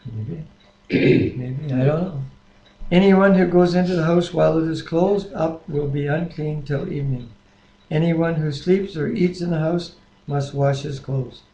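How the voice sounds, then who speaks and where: A man's voice: on the high side, unhurried, warm tone, dull audio. A man reading scripture aloud plainly, with small stumbles and self-corrections, recorded on a webcam microphone. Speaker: Bible reader. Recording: echoey room webcam audio.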